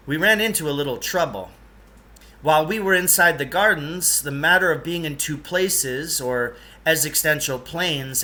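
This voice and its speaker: A man's voice: mid-range voice, bright, forceful tone. A man reading a children's novel aloud in a storytelling style. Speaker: reader, teacher reading aloud.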